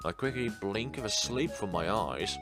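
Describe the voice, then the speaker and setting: A man's voice: on the low side, expressive, unhurried. A man hosting a let's play, reading a visual novel aloud with casual commentary. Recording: music playing behind the voice.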